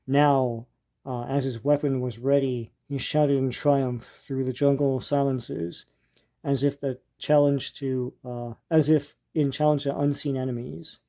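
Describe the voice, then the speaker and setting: An older man with a dark, relaxed voice at a middling pitch talks through what he is showing. His delivery is halting and informal, like someone thinking aloud as he hosts a casual show-and-tell. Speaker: host leafing through vintage comic strips.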